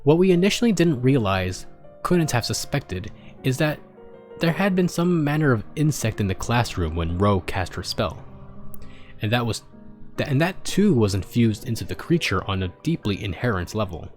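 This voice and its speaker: A man's voice: mid-range voice, very animated, moderate pace, faint music behind, close to an everyday voice. A man reading as a pony doctor dictating grim research notes in a formal, clinical manner, for a storybook. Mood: clinical, detached.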